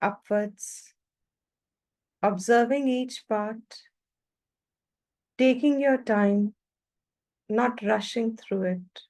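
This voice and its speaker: A woman with a fairly high voice guides a meditation at a very slow pace. She gives calm, unhurried instructions in short phrases, leaving long pauses between them.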